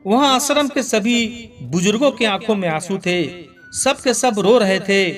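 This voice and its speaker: A man's voice: high-pitched, a bit bright. A man narrating a scripted, sentimental moral story in an earnest, dramatic way. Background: background music.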